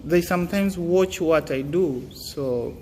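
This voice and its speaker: Young man, earnest and halting; echoing room, faint hiss. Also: on the high side, a little mellow. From Tanzania. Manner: earnest, halting, many fillers and restarts. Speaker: student giving advice. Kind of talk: casual motivational talk.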